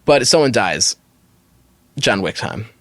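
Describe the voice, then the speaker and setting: A man's voice: on the low side, sing-song, measured pace, a bit bright, dry recording, studio quality. A man hosting a podcast, talking casually and giving opinions as he thinks aloud and qualifies his judgments. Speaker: podcast host. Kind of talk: casual opinionated discussion of online stories.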